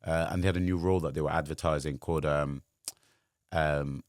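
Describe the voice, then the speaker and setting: A man's voice: a bass voice, steady tone, a little fast, dry recording, clean studio sound. A man, a guest telling his career story in casual chat, rambling with lots of fillers. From Wales. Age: 20s. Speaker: guest, sports journalist.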